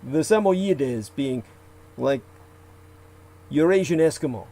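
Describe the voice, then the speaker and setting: A man reciting old legends aloud, with slow, deliberate delivery, archaic phrasing and occasional asides where he corrects himself. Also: on the high side, expressive, dark tone. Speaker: radio show guest.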